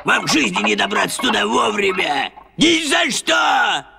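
A man's voice: sits high, gravelly, exaggerated voice. A man voicing a big, blustering, bad-tempered cartoon villain who schemes, grumbles and jeers. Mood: taunting. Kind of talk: cartoon dub acting. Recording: music behind the voice.

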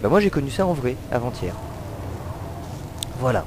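A man's voice: medium pitch, sing-song, normal speed, background noise. A man with a cold giving rambling, hesitant commentary while playing a video game. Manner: rambling, hesitant, many fillers. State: has a cold. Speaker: let's play commentator. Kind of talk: casual gameplay commentary.

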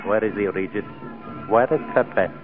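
An actor playing a cool, shrewd colonial police inspector in a 1940s radio drama. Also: male voice, on the low side, normal speed, natural voice. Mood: firm. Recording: tinny radio with loud music and crowd.

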